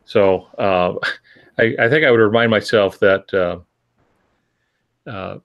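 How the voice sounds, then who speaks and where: Older man, deep-leaning pitch, gravelly fry, measured pacing of thoughts, emphatic, reflective podcast talk. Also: muffled recording. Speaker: podcast co-host and veteran writer.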